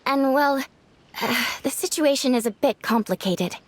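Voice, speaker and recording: A woman voicing a gentle, polite young female yokai in a video game, earnest and a little hesitant. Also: high-pitched, measured pace.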